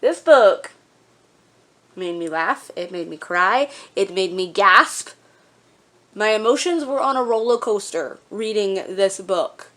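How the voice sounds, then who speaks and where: A woman's voice: mid-range voice, lively intonation, takes it slowly, a bit bright. A woman vlogger chatting casually to her viewers in a gushing, emphatic way. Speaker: book vlogger.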